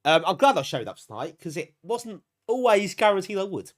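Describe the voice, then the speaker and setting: A man's voice: sits high, sing-song, a little fast. A British man with a bunged-up nose from a cold, chatting casually and self-deprecatingly to viewers as a live streamer, appreciative of them. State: bunged up, getting over a cold. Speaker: live streamer hosting a retro gaming stream.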